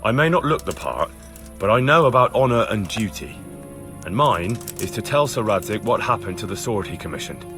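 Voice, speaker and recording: Earnest young man, lowish voice. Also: big pitch swings, moderate pace, a bit bright, soft background music. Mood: dutiful, firm. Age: young adult. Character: earnest young blacksmith's son, plain-spoken and dutiful. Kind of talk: video game cutscene dialogue.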